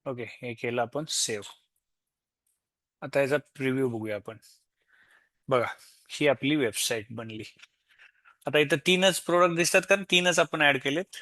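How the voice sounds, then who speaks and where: A man with an Indian Marathi accent giving an informal, step-by-step software tutorial, explaining as he goes and mixing in English tech terms. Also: mid-range voice, normal speed, long pauses, no room sound. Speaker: software demo presenter.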